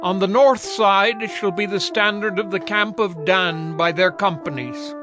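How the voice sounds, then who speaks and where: High-voiced, slow male reader over music. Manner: formal, declamatory. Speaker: narrator. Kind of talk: scripted scripture reading. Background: prominent music.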